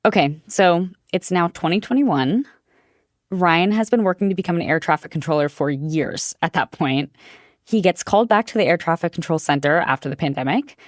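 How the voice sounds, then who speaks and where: A woman's voice: on the low side, lively intonation, moderate pace, no room sound, clean studio sound. A woman hosting a podcast, asking questions and recapping in a conversational style. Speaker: podcast host.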